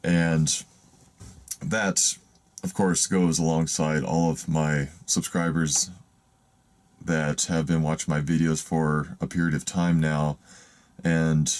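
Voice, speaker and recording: A man with an extremely deep, raspy voice talks straight to the camera. He rambles and hesitates, circling back and restarting his sentences. He is from the United States. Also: darkish tone. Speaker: van life vlogger. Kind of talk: casual talk to camera. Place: in a van.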